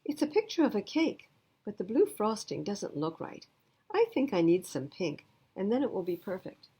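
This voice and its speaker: Woman as a confident, husky schoolgirl painter. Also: mid-range voice, sing-song, normal speed, dark tone, pitched above the performer's own voice. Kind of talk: storybook character reading.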